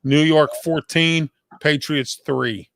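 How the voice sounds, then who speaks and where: A man's voice: on the high side, fairly even intonation, slow pace, vocal fry, over a noisy crowd. A man on a sports talk panel, chatting casually and offhandedly.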